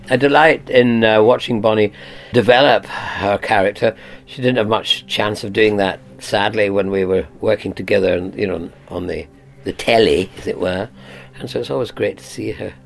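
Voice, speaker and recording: A man's voice: lowish voice, gravelly. An older British man, an actor, reflecting conversationally in a behind-the-scenes interview.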